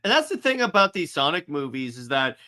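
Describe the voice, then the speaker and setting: A man's voice: a little high, big pitch swings, a little fast, pushed and bright. A man co-hosting a podcast, chatting casually about a movie and often chiming in to agree. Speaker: podcast co-host.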